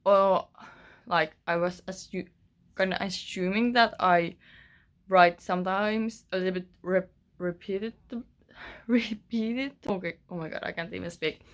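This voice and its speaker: A woman's voice: medium pitch, steady tone, unhurried. A young woman with a Finnish accent gives a casual writing-vlog update from her bedroom, rambling with many fillers and restarts. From Finland. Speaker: author vlogger.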